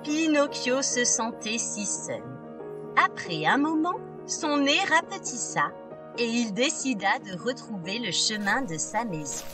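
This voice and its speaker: A woman narrating fairy tales for small children in an expressive, deliberate scripted style. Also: a little high. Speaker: children's storyteller. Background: music.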